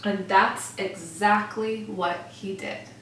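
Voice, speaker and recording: Woman teacher, picture book read-aloud, slow pace, echoey room, far from the mic. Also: medium pitch, slight background noise. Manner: gentle, expressive storytelling. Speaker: first grade teacher.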